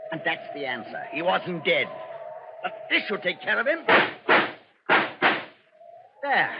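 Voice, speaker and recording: An arrogant Nazi colonel, muffled old radio. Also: male voice, a little high, exaggerated voice. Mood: agitated, defiant. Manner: pompous, condescending, formal. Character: cold, arrogant Nazi colonel, ruthless and domineering. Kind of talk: 1940s radio acting. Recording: muffled, noisy vintage radio broadcast.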